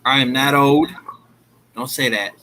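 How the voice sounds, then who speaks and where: A man in his thirties teasing friends in a relaxed, laid-back casual chat. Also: medium pitch, measured pace, low-quality recording. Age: 30s. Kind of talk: casual chat on a group call.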